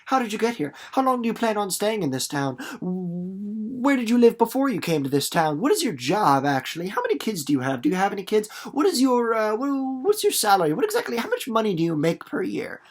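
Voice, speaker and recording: A man's voice: sits high, big pitch swings, fairly quick, few pauses, pitched above the performer's own voice, heavily acted. A man playing a nosy, chatty questioner who fires off overly curious questions in a playful lesson. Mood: nosy, eager.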